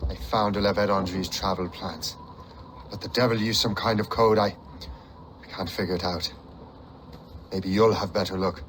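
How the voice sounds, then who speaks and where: An actor playing a grim, determined seafaring hunter and captain in a dramatic video game cutscene, terse and intense. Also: male voice, low voice, fairly even intonation, normal speed, pauses often, a bit bright, slight background noise. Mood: guarded, low.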